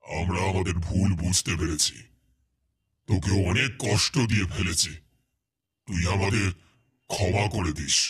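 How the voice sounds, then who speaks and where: A man's voice: deep voice, fairly even intonation, a little slow, long pauses, fairly bright tone, slightly rough, exaggerated voice. A man playing a threatening, fiercely loyal ghost in a horror cartoon, speaking bluntly. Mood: remorseful. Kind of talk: cartoon horror story acting.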